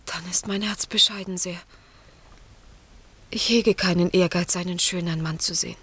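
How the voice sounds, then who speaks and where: An actress playing an innocent young daughter in a classic German radio drama, tender and earnest, with a breathy voice. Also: female voice, lowish voice, steady tone, moderate pace, a bit bright. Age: young adult.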